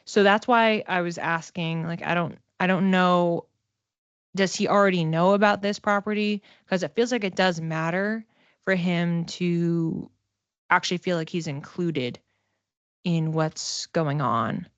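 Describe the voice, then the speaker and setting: A woman giving a casual one-to-one card reading as a psychic, talking conversationally and haltingly, circling back over phrases as she thinks aloud. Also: on the low side, clear, resonant tone, dry recording, muffled recording. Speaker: psychic reader.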